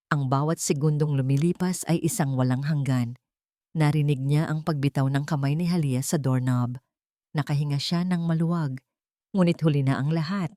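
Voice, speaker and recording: A woman's voice: sits low, dark tone, no room sound, studio quality. A woman narrating a scripted romance audio drama in a dramatic storytelling style.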